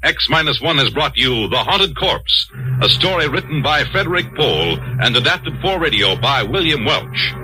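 A man's voice: a little high, big pitch swings, normal speed, poor audio. Vintage male radio announcer over music. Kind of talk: 1950s radio credits announcement. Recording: old 1950s radio recording, music behind.

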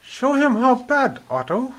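A woman's voice: high voice, very animated, a little slow. An actor, heard as a woman, playing a falsely courteous, coolly commanding gang boss in an old radio crime drama. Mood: coolly menacing. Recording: noisy old radio recording.

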